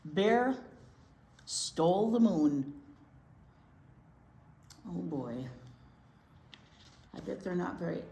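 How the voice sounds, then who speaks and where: A woman reads a children's story aloud with big, lively swings in pitch and an unhurried pace. She is expressive and chatty, putting questions to young listeners. The room is echoey. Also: creaky. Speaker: children's library teacher reading aloud. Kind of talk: animated picture-book read-aloud for young children. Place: indoor room at a library. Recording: roomy echo, noticeable background noise.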